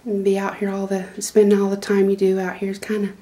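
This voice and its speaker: A woman's voice: mid-range voice, completely flat delivery, fast talker, dark tone. A middle-aged woman, giving a rambling, homespun tour of her small wooden goat barn with lots of fillers and restarts. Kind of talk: casual walkthrough narration. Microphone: handheld phone camera.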